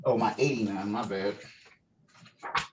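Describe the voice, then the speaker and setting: A man's voice: fairly low pitch, unhurried, very noisy. A man casually running a script table read over a video call, giving directions and reading out stage directions. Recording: distant mic on a video call.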